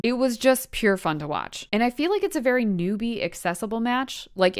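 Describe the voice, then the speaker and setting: Clear, smooth-voiced woman vlogger. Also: medium pitch. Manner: wry, playful, self-deprecating asides. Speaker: YouTube host reviewing wrestling matches. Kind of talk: casual scripted vlog to viewers.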